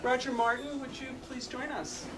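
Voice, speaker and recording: A woman moderating a candidates' forum, brisk and polite as she keeps things moving. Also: high voice, fairly even intonation. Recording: loud crowd noise, clicks.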